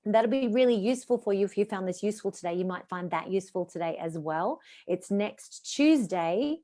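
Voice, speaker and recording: A woman's voice: medium pitch, animated, fairly quick, warm tone. A middle-aged woman, a voice coach, teaching an online session over a video call in an encouraging coaching tone.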